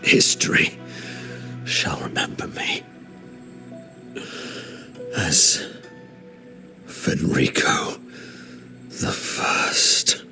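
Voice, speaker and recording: A man's voice: very deep voice, huge pitch swings, very slow, long pauses, over music, heavily acted. An actor playing a proud, doomed male zealot leader who speaks in solemn, grandiose declarations in a video game. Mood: defiant.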